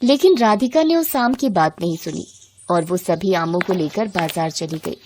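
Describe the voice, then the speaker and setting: A woman's voice: fairly low pitch, animated, normal speed, background noise. A woman storyteller reading scripted narration for a moral bedtime tale.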